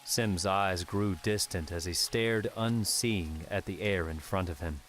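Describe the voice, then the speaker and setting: A man's voice: low-pitched, measured pace, a bit bright, slight background noise. A man narrating a fantasy adventure audiobook from a script.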